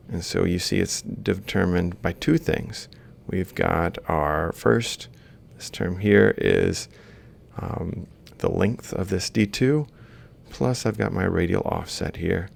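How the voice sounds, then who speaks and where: A man giving an informal, step-by-step lecture, explaining haltingly as he works through examples. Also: deep voice, creaky. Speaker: university robotics lecturer. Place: screen-recorded lecture voice-over.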